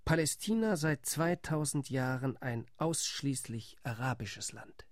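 An actor playing a determined delegation member in a radio play, laying out plans in a firm tone. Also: male voice, medium pitch, a little slow, dry recording, clean studio sound, close to an everyday voice.

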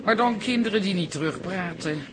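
A woman's voice: lowish voice, brisk, a crowd in the background, close to an everyday voice. An actress in a radio play playing a wistful older woman of the theatre, chatty, self-pitying and self-dramatising.

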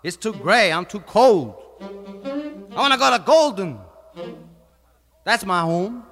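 A man performing as a wandering beat poet, reciting blues verse in a drawn-out, incantatory way with horn music behind him. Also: high voice, sing-song, fairly bright tone, full character voice. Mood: yearning, restless. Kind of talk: poetry recitation over music. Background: saxophone or brass music.

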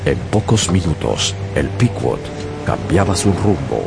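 Sailor-narrator: very deep, measured, radio drama with music. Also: male voice, very animated, vocal fry, heavily acted. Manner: measured storytelling. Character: young sailor narrating his voyage.

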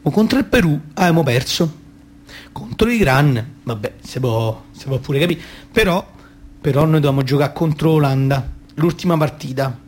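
Man playing a young boy, Roman accent, slightly high voice, naive, boastful, chatty, easily distracted, theatre comedy. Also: close to an everyday voice. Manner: childlike, rambling, eager, easily distracted. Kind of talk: colloquial stage monologue and comic dialogue.

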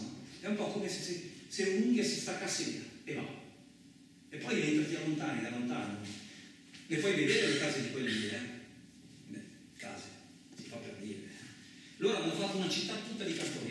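An actor playing a coarse, suspicious, lonely truck driver in a radio theatre monologue, rambling colloquially with frequent questioning interjections. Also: male voice, a little high, fairly bright tone. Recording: very echoey, distant, noisy room recording.

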